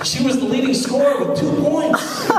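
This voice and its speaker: A man's voice: very high voice, normal speed, very noisy. A young man doing a stand-up comedy set at a college open mic, telling crude, self-mocking jokes in a casual way. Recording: echoey hall, distant mic, crowd laughter. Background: audience laughing.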